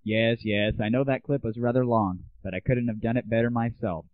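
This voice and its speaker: A man's voice: medium pitch, steady tone, a little fast, no echo, faint music behind. A man hosting a show in a casual monologue, brash, irreverent and crude in his manner. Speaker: host. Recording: dry, tinny lo-fi sound.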